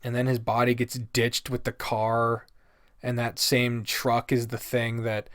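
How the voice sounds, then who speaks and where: A man's voice: medium pitch, monotone, a little slow, a bit bright, dry recording, clean studio sound. A man giving a casual, opinionated review rant in a blunt, exasperated, swear-heavy way. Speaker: video host.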